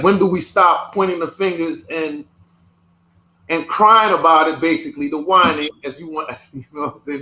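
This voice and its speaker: A man who was born in the United States, talking in a casual discussion, halting and often repeating his words. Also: on the high side, sharp tone. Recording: echoey room.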